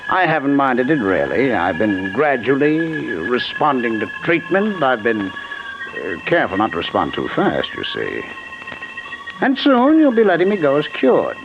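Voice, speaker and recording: A deranged, jealous husband in an old radio thriller. His mid-pitched voice swoops expressively, coldly polite and menacing. The vintage recording is muffled, with faint noise. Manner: menacing, mock-courteous, deliberate. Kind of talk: 1940s radio suspense acting.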